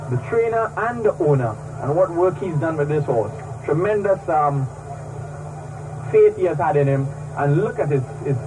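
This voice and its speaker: High-pitched male race caller, fast clipped delivery, distant old broadcast sound. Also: background noise. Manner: rapid, clipped race calling. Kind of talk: horse racing commentary.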